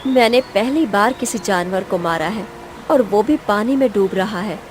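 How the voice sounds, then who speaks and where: A woman's voice: medium pitch, normal speed, background noise, close to an everyday voice. A woman playing a young princess, headstrong yet wistful, in a cartoon fairy tale. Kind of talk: Hindi cartoon story voice acting.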